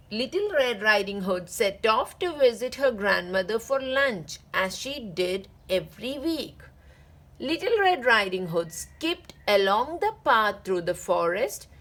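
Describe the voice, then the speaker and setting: Female children's story narrator, very animated and higher-pitched, deliberate drawn-out phrasing. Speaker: read-aloud channel host.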